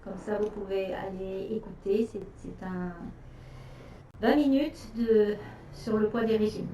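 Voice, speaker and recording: A middle-aged woman from France chats on a casual live stream, pausing often with fillers and restarting her sentences. Her voice is mellow and slightly high. She sits back from the mic in a room that echoes, with faint noise behind her. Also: steady tone, far from the mic. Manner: hesitant, many fillers and restarts. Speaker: nutrition specialist hosting a live stream.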